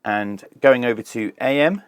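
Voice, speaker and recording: A man with a mid-pitched voice talks through a hands-on technical demonstration. His delivery is measured and informal, explaining as he works, like the host of a review channel. The sound is dry, with very little room in it. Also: smooth, no room sound.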